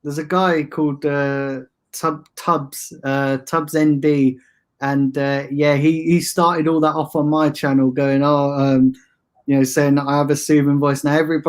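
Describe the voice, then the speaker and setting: Soothing-voiced man, relaxed football chat. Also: fairly high pitch. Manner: laid-back, hesitant, fillers and restarts. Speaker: guest, fan channel streamer.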